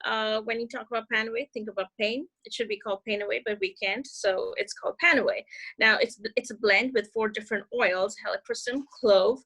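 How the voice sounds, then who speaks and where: A woman's voice: fairly high pitch, steady tone, brisk, sharp tone, breathy edge, rough recording. A woman presenting in a hesitant way, using lots of uh and you know. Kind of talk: casual group presentation.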